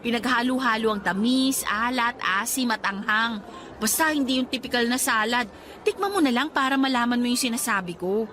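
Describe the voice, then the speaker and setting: An actress playing a bubbly, teasing young Filipina friend in a radio drama, with a playful, expressive Filipino accent. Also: female voice, a little high, moderate pace, slight background noise, close to an everyday voice.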